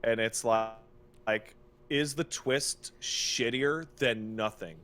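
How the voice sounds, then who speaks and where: A man co-hosting a podcast, ranting casually about video games in an exasperated, animated, sweary way. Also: medium pitch, slow. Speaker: podcast co-host. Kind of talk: casual video game rant.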